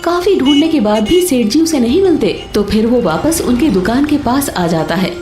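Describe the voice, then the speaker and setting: A woman narrating a scripted story as a storyteller, in an expressive manner. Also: on the high side, normal speed, roomy sound. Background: soft instrumental music with sitar.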